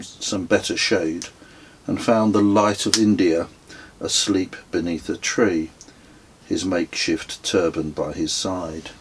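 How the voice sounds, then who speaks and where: A middle-aged man reading his own travel memoir as an audiobook, in a measured, dryly wry manner. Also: low-pitched, gravelly, breathy edge. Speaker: author reading own book.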